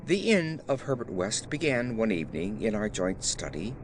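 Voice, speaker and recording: Expressive male horror narrator, swooping intonation. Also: lowish voice, moderate pace, background noise. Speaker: audiobook narrator. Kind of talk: dramatic scripted narration of a horror story.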